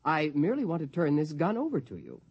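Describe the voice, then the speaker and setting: An actor playing an unhinged, high-strung man with a murderous fixation, in 1950s radio drama style. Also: huge pitch swings, quick pace, husky, highs cut off, full character voice. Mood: polite, composed.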